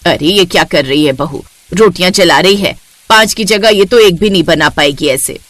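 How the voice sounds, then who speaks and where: A woman's voice: lowish voice, normal speed, fairly bright tone, dry recording. A woman voicing an irritable older mother-in-law in a cartoon story, scolding and exasperated.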